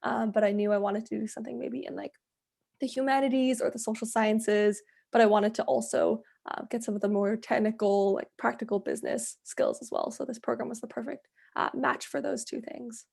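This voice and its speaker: Young woman student on a panel, higher voice w lots of vocal fry, chatty, says like a lot. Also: steady tone, a little fast, darkish tone. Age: 20s. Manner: conversational, frequent "like" as filler. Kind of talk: casual webinar interview answers.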